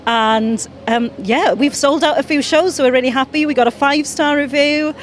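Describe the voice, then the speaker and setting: A Welsh woman with a fairly high voice chats in a bubbly, playful way and laughs easily. She is being interviewed out on the street, with traffic faint behind her. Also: normal speed. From Wales. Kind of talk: casual interview. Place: busy street outdoors.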